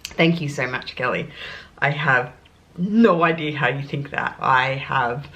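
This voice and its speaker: A young Australian woman chatting casually to camera in a beauty Q&A, animated and quick to laugh. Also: very deep voice, muffled recording. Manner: animated, laughs often, warm and gushing. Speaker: luxury beauty vlogger.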